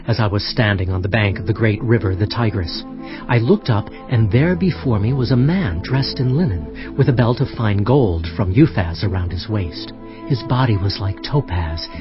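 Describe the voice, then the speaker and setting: A man's voice: fairly low pitch, lightly acted. A man playing a devout, earnest Hebrew prophet in a dramatized audio Bible, with a fervent, reverent delivery. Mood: solemn, awed. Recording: slightly dull audio, music underneath. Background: background music.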